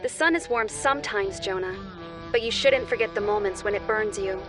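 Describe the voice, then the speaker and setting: A woman playing a gentle, nurturing angel who hosts a children's cartoon, speaking in a soothing, instructive way straight to the young viewer. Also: medium pitch, brisk, natural voice. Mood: gentle, earnest. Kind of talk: children's educational show dialogue. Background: background music.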